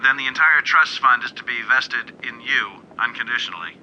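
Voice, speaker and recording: An actor playing a shrewd, unflappable American defense lawyer in a radio drama, with a clipped, questioning manner. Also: male voice, mid-range voice, normal speed, pushed and bright, highs cut off, a little hiss or hum. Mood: measured, probing. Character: shrewd, cool male criminal defense lawyer.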